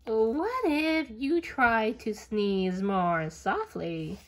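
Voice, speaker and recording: A woman voicing a small, caring female pig in a storybook, in a gentle questioning way. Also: fairly high pitch, expressive, extremely slow, pitched below the performer's own voice, full character voice. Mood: concerned.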